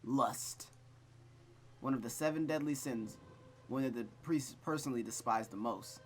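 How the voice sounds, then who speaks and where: A man's voice: medium pitch, normal speed, a little hiss or hum. A man reading a visual novel aloud as host and breaking off into rambling, self-deprecating commentary, stammering often. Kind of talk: let's play reading with casual commentary.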